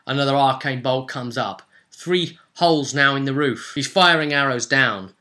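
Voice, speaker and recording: A man with a very bright, sharp, forceful voice at a middling pitch tells a tabletop fantasy adventure as its game master. His delivery is dramatic and unscripted, built from vivid present-tense description and short, emphatic phrases. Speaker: game master recapping a role-play session.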